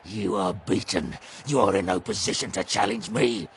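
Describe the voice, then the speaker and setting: A man playing a brutish, arrogant, hot-tempered warlord in an audio drama, gravelly and snarling, with theatrical bellowing and drawn-out words. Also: fairly low pitch, slight background noise. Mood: scornful menace.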